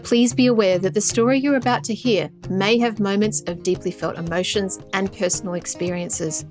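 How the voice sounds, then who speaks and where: A woman hosting a podcast, earnest and gentle as she introduces and encourages her guest. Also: medium pitch, steady tone, moderate pace, soft background music. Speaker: podcast host.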